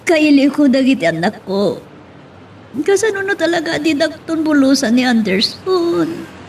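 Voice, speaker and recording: A woman with an Ilocano Filipino accent playing a troubled, remorseful married woman in an audio melodrama, halting and pleading. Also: high voice, very animated, slight background noise, heavily acted. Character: distressed pregnant married woman, remorseful, confessing an affair. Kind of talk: Ilocano radio-style melodrama acting.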